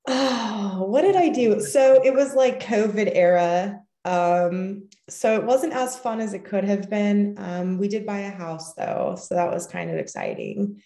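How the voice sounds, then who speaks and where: A woman with a mellow, lowish voice answers with lively swings in pitch, thinking aloud as she goes. She sounds as if she is in an echoey room on the call. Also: a little slow, few pauses. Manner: animated, laughing, thinking aloud. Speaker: resident physician.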